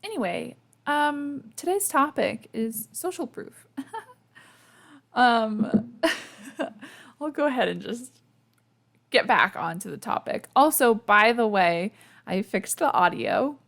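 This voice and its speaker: A woman's voice: fairly high pitch, animated, slow pace, gravelly, pauses often, fairly bright tone. A young woman hosting her own podcast in a casual, chatty, self-deprecating way, sleepy and losing her voice, laughing often between lots of fillers. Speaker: podcast host. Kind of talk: casual solo podcast chat. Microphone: podcast microphone.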